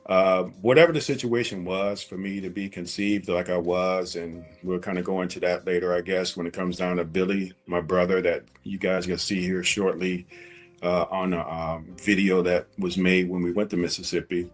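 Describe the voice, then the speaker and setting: A man's voice: deep voice, little pitch movement, normal speed, soft background music. A man in his forties speaking as an interview guest, candidly telling a personal story in a conversational way and repeating phrases for emphasis.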